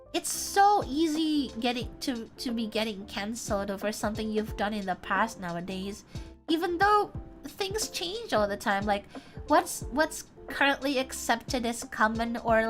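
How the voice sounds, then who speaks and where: Young Indonesian-accented woman, high-ish voice, casual chatty streamer, light music behind. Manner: chatty, reads viewer comments aloud, thinks out loud. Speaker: VTuber streamer. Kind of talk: casual livestream chat.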